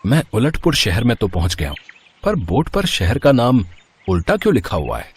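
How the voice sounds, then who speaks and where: A man's voice: on the low side, wildly swooping pitch, moderate pace, breathy edge, exaggerated voice. A man voicing an earnest male schoolteacher, the hero of a children's cartoon story. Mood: puzzled. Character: puzzled, confident young-ish male schoolteacher, cartoon hero.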